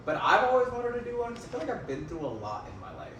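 Lively young man in an echoey room. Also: high voice, very fast. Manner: lively, joking, many restarts. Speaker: podcast co-host. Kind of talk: casual chat. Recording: roomy echo, distant mic, noise.

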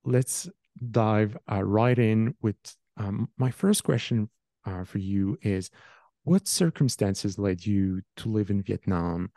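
A man with a low, mellow voice hosts a podcast interview. He asks his questions politely and at a measured pace, pausing on filler words. His voice sounds dry and close, with no room echo. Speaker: podcast host. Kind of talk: interview hosting.

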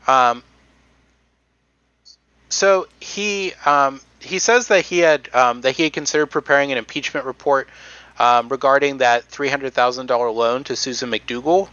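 Man, podcast host, hesitant delivery, lots of ums, bright tone, dull lo-fi sound. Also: medium pitch, normal speed, clean tone, no room sound. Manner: hesitant, many "um"s and restarts. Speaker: podcast host presenting research. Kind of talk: casual podcast chat.

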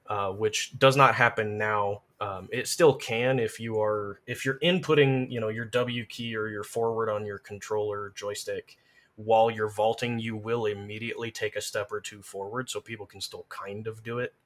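A man's voice: fairly low pitch, steady tone, moderate pace, fairly bright tone. A man talking as a podcast guest, casually explaining things in detail like someone who knows the subject well. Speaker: podcast guest, game lore and gameplay expert.

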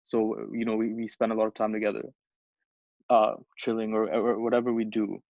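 A man's voice: lowish voice, monotone, a little fast, dry recording. Rambling young man, dry muffled sound. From Bangladesh. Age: young adult. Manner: rambling, many restarts and fillers, swears casually. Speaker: podcast co-host. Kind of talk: casual chat.

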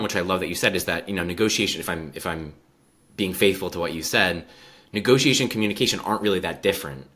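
A man hosts an interview in a very low voice with a bright, forceful edge. He talks conversationally and thoughtfully, taking a discursive route through his remarks and questions. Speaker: interview host.

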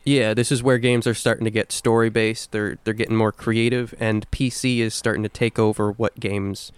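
A man's voice: on the low side, level tone, moderate pace, smooth, no echo. A man co-hosting a podcast, talking casually and off the cuff about video games. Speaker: podcast co-host. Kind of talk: casual unscripted video game discussion.

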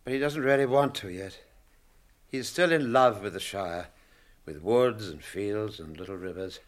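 A man's voice: lowish voice, normal speed, lightly acted. A British man playing a fussy, testy old hobbit in a radio drama, chatty and prickly in manner. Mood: wistful.

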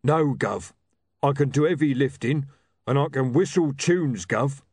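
A man voicing a big, slow, good-natured troll who used to box, talking in a deferential, simple way. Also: a little mellow, slightly rough, dry recording, studio quality. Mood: humble, plain. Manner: mumbling, booming, addresses boss as Gov.

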